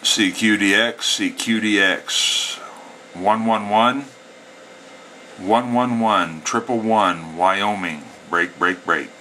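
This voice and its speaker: Bright, forceful American man, folksy radio hobbyist. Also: lowish voice, slow, slight background noise. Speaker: amateur CB radio operator. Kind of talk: casual hobby demonstration and radio calling. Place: indoors at a home radio setup.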